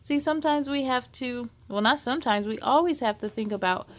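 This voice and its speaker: A woman hosting a children's story time, reading a picture book aloud in an expressive, sing-song way and chatting to young listeners. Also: high-pitched, fairly quick.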